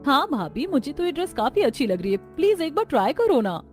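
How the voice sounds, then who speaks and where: A woman voicing chatty, friendly young Indian daughters-in-law from a neighbourhood in an expressive, conversational way for a cartoon story. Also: sits high, brisk. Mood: friendly, encouraging.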